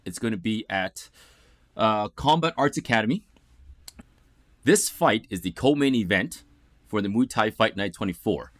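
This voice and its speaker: A man's voice: lowish voice, lively intonation, moderate pace, pauses often, fairly bright tone, no room sound, studio quality. A man from Ontario hosting a casual interview, upbeat and chatty. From Ontario, Canada. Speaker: interview host. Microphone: video call setup.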